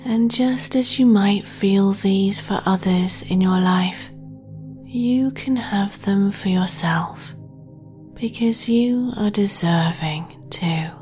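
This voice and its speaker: Female sleep meditation host; breathy half-whisper, very unhurried, soft music bed. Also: mid-range voice. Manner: slow, soothing, gentle delivery.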